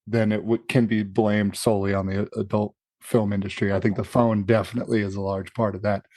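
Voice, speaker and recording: A man's voice: lowish voice, flat delivery, a little fast, dark tone, no room sound. A man co-hosting a podcast, talking casually and searching for his words as he reasons. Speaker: podcast co-host. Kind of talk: casual chat.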